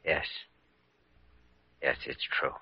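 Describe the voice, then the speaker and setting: An actor playing a kindly, earnest doctor with an American accent and a gentle bedside manner in an old-time radio drama. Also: male voice, extremely slow. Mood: gentle, serious. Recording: muffled old radio recording.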